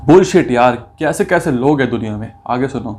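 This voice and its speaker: A man's voice: mid-range voice, lively intonation, normal speed, darkish tone. A man giving opinionated commentary in an indignant, accusatory manner, asking rhetorical questions and mixing in English phrases. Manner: mixes English phrases into speech. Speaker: video commentator.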